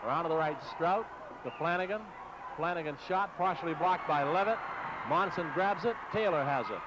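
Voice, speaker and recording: A man's voice: fairly high pitch, moderate pace, very noisy. An American man calling live basketball play-by-play as a radio announcer in clipped, running phrases on a 1960s AM broadcast. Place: courtside in a large exposition hall.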